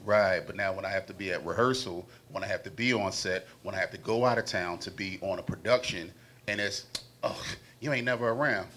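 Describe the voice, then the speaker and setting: Deep-voiced man listing things earnestly. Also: fairly quick, a bit bright, slight background noise. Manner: earnest, builds long lists. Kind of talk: casual panel interview. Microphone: handheld mic.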